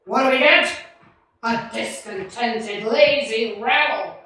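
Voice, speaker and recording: A woman playing a cold, scornful, miserly old businessman in a radio play, forceful and dismissive. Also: on the high side, slow. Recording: very roomy, distant stage recording.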